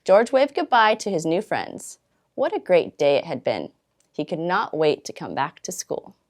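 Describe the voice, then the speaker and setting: A woman with a slightly low voice reads a children's storybook aloud. Her intonation is very animated, and she uses dramatic pauses and stretched words to carry the story. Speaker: volunteer storytime reader.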